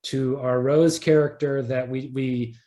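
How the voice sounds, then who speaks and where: A man's voice: medium pitch, steady tone, measured pace, warm tone. A man talking casually as an interview guest, peppering loose, restarted sentences with likes. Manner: conversational, peppered with likes and repeated starts. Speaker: interview guest, audio drama creator.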